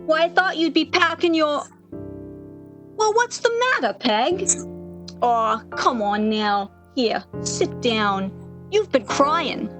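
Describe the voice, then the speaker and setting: Kindly switchboard gal for 1930s radio play, played by a woman: high, slow-paced, consoling. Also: lively intonation, over music. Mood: concerned.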